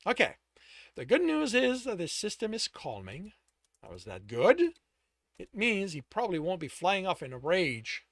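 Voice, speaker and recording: A man's voice: high-pitched, huge pitch swings, moderate pace, fairly bright tone, no echo, clean studio sound, voice pushed up, heavily acted. A man putting on a blunt, exasperated woman scientist. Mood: brusque.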